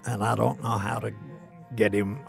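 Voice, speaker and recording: An elderly man recounting a personal war memory in halting, short phrases. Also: on the low side, flat delivery, gravelly, faint music behind. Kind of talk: slow personal war recollection.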